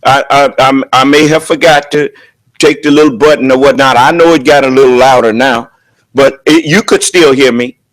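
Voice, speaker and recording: A man preaching a sermon at a prayer service in a loud, booming voice, driving his points home with rhetorical questions. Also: fairly even intonation, moderate pace, rough recording.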